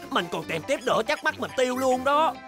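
A sleepless young cartoon bear who grumbles and dramatizes everything. The voice is fairly high, whiny and exasperated, made for a children's show. Music plays clearly behind it. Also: female voice, big pitch swings, brisk. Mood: frustrated.

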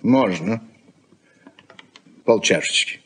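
A touchy, practical middle-aged husband in a 1980s Soviet radio play. The voice is rough and husky with very animated intonation, delivering curt, sardonic grumbles. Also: male voice, fairly low pitch, extremely slow, heavily acted. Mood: grumbling.

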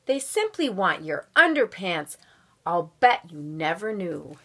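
A middle-aged woman reading a children's picture book aloud at home with expressive, drawn-out storytelling delivery. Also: lowish voice, noisy background. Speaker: volunteer guest story reader. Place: small room at home.

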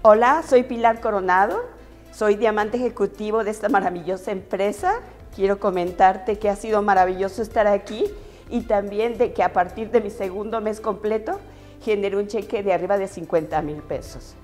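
Woman, upbeat pitch to camera, music beneath. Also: moderate pace. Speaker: company testimonial speaker. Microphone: lapel mic.

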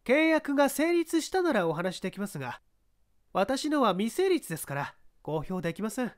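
A man voicing a brazen young woman, a haughty and sharp-tongued scout, in a dramatised audiobook. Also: very high voice, sing-song, normal speed. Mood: curt, proud.

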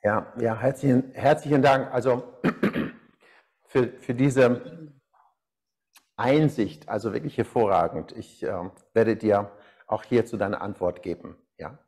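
A middle-aged man from Iran with a mid-pitched voice gives a live online seminar. He speaks as a coach, addressing participants and sharing personal stories into a small lapel mic. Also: slow, darkish tone. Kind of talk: live-stream seminar talk, personal storytelling.

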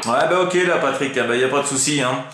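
Male CB operator, casual and colloquial, medium pitch, heavy echo. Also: fast, background noise. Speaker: amateur CB radio operator. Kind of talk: casual radio contact chat. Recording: very echoey radio microphone sound.

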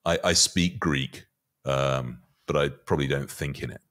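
A middle-aged man giving conversational market commentary as a guest, often halting and repeating words as he thinks. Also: rumbling low, a bit bright. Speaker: podcast guest, finance commentator. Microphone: podcast mic on boom arm.

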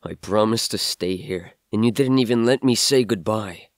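A man's voice: fairly low pitch, normal speed, no room sound, studio quality, natural voice. Man playing an earnest, devoted young son. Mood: controlled anger. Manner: earnest, insistent. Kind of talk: audio drama acting.

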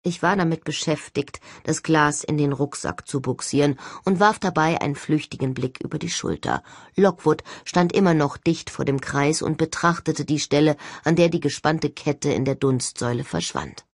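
A woman's voice: deep voice, moderate pace, creaky, dry recording. A woman reading scripted first-person audiobook narration as the narrator, recorded close.

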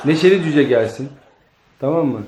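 A man's voice: fairly high pitch, lively intonation, normal speed. A man casually giving playful, bossy stage directions as director of an improvised comedy sketch. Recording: echoey room with faint noise.

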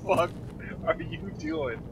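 A man's voice: fairly high pitch, huge pitch swings, takes it slowly. A young man chatting and joking with his squad during a game, swearing casually. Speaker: gamer in a squad. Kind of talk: casual voice chat while playing. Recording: distant mic with loud game noise.

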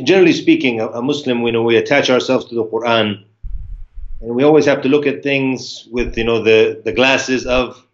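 An American man in his forties speaks over a webcam from a room with a plain wall. He talks fast and restarts often, mixing Arabic religious phrases into casual English. The call audio is muffled and lo-fi. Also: medium pitch. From United States (Virginia). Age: 40s. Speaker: guest speaker, Islamic lecturer. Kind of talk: live online interview. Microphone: video call webcam.

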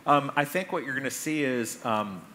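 Male conference speaker, American, mid-range pitch with a bright, tense edge, presenting on a big stage. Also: normal speed. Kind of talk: public talk on stage. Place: large conference stage indoors. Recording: stage mic with clear background noise.

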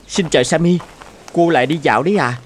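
A man's voice: fairly high pitch, brisk, slightly rough. A man voicing a kind, earnest young hero in a cartoon fairy tale. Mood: friendly. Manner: earnest, animated. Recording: loud music under the voice.

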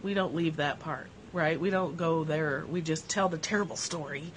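Middle-aged woman, nasal with a cold, casual animated livestream host on a porch, phone mic. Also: low-pitched, normal speed, a little hiss or hum, rough recording. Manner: animated, gestures a lot while talking. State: has a cold. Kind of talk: casual reflective talk to viewers. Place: covered outdoor porch. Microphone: phone at arm's length.

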